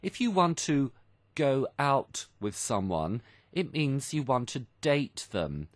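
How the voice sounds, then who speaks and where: A man hosting an educational radio programme for language learners, with very lively, varied intonation. He explains expressions step by step in simple words and repeats them clearly for students. The sound is dry and close, as in a studio. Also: mid-range voice, takes it slowly, no echo. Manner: didactic, explains phrases simply with examples and repetition. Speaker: radio programme host and English teacher. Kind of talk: explanatory English lesson for learners, radio presenting.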